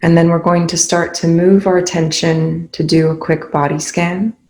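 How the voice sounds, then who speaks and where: A meditation guide speaking calmly and instructively, leaving long pauses between phrases. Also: mid-range voice.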